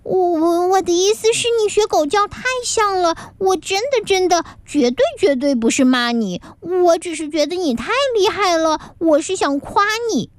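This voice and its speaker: A woman's voice: very high-pitched, moderate pace, hardly any pauses, no room sound, pitched above the performer's own voice. A woman voicing a pouty, teasing young child who turns whiny and squealing, in a children's story. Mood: eager. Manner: whiny, squealing when upset.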